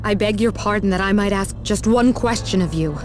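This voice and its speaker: A woman's voice: mid-range voice, a little fast, close to an everyday voice. An actress playing an earnest, deferential servant of a king in a video game, speaking with formal respect. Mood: respectful, concerned. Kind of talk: video game cutscene acting. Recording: music behind the voice.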